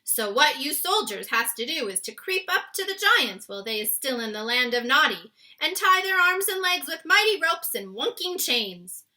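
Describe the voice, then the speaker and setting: Storybook giant, played by a woman: excitable, friendly, very forceful, garbles words in folksy grammar. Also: high voice, moderate pace, few pauses, pitched above the performer's own voice, heavily acted. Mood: eager explaining. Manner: exclaims in mangled, folksy grammar.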